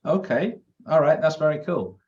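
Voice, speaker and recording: A man's voice: a little high, expressive, moderate pace, a little mellow, a little hiss or hum. A man interviewing a new member for a professional network, friendly and hesitant, with many fillers and restarts. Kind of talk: interview conversation. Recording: dull lo-fi video-call sound.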